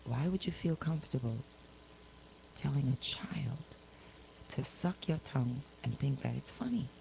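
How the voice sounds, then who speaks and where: A woman's voice: rumbling low, measured pace, vocal fry, mellow, slight background noise, rough recording. A woman hosting a casual live talk show, questioning people incredulously and repeating her words for emphasis. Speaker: radio show host.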